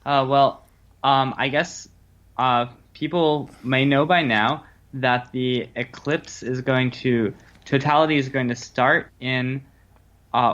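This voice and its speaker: A man's voice: mid-range voice, fairly bright tone. Man explaining, slightly dull band-limited audio. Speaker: guest researcher and ham radio operator. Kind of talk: explanatory radio interview, conversational.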